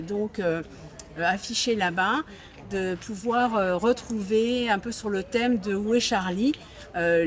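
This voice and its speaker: A woman volunteering at an outdoor stand, presenting children's activities in a friendly, halting way. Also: mid-range voice. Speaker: volunteer at a bird-protection stand.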